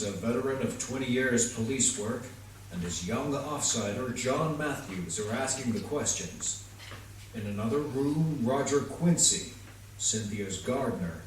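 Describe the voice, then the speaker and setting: A male narrator sets the scene for a play in a measured, announcing way. His medium-pitched voice comes from a distance in a very echoey theatre, with faint noise behind it. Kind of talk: scripted stage narration.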